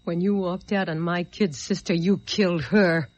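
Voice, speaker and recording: World-weary young tough girl female actor, radio noir. Bitter, threatening, rough-edged voice, muffled vintage sound. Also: fairly low pitch, steady tone, moderate pace, a little mellow, no echo, natural voice. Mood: bitter, menacing. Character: bitter, hard-boiled young woman bent on avenging her sister. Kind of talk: old-time radio drama acting. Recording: dull old radio recording.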